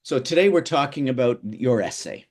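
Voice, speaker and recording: A middle-aged man from Canada teaching an online workshop over a video call, halting often with fillers and repeated words. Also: medium pitch, very animated.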